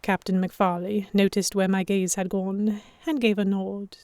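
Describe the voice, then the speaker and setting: A woman's voice: medium pitch, flat delivery, darkish tone, dry recording, natural voice. Woman as guarded young noblewoman narrator, dry sound. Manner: measured, introspective, literary. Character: anxious, guarded young noblewoman captive narrating her story. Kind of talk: first-person dramatic narration, historical romance audio drama.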